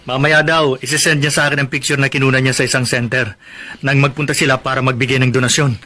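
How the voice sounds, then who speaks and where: An actor playing a protective, stubborn young husband in a Filipino radio drama, speaking earnestly and firmly. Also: male voice, mid-range voice, level tone, quick pace, pushed and bright, lightly acted. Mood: relieved, guarded. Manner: earnest, firm.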